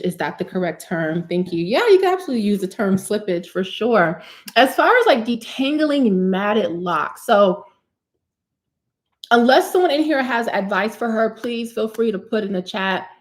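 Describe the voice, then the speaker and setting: A woman in her thirties hosting a live hair care Q&A, chatty and animated, calling viewers honey and sis. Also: medium pitch. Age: 30s. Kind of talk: live stream Q&A, casual chat.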